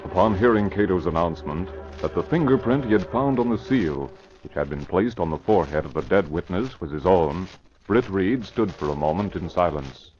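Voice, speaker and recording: A man's voice: low voice, animated, normal speed, darkish tone. A man narrating a crime serial from a script in 1940s radio style. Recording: muffled old radio recording. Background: music.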